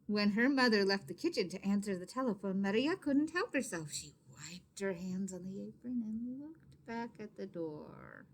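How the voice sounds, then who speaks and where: A woman reading a children's picture book aloud expressively, pausing to explain and put questions to young listeners. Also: medium pitch, animated, slight background noise. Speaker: read-aloud storyteller, teacher.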